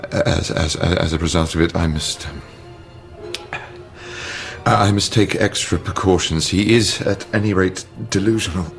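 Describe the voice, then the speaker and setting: An actor playing a brusque, troubled psychiatrist in a radio drama, clipped and halting, stammering under strain. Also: male voice, low voice, vocal fry, soft background music. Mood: tense, insistent. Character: brusque, troubled, secretive male psychiatrist, easily rattled.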